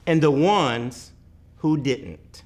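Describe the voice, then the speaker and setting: A man giving a dramatic read-aloud of a poetic picture book, with deliberate, emphatic phrasing and weighty pauses. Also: on the high side. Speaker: reader of a children's picture book.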